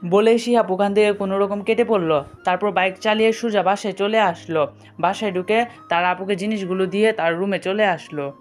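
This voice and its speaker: A woman's voice: mid-range voice, brisk. A woman reading a scripted story as a narrator, with dialogue between characters. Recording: music behind the voice. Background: background music.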